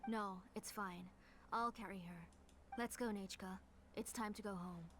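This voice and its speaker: A woman voicing a polite, protective mother in a video game, gentle yet firm. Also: mid-range voice, steady tone, unhurried, slight background noise, natural voice. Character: protective, polite but firm mother, evasive under questioning.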